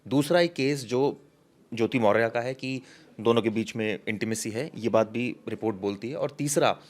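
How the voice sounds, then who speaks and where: Male reporter explaining in halting phrases. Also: medium pitch, darkish tone. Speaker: news reporter giving case details. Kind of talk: unscripted news discussion, explanatory.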